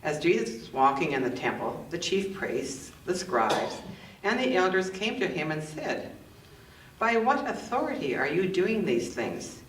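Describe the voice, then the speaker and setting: A woman's voice: fairly low pitch, big pitch swings, a little slow. Distant, echoey woman reading scripture in church. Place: indoors in a church, roomy acoustic. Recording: roomy, distant microphone, faint noise.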